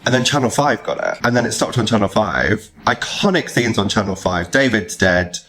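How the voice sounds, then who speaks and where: A man's voice: fairly low pitch, lively intonation, normal speed, a bit bright. A British man in his thirties hosting a podcast in a casual, chatty, enthusiastic and self-deprecating way. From United Kingdom. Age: 30s. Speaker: podcast host. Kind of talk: casual chat.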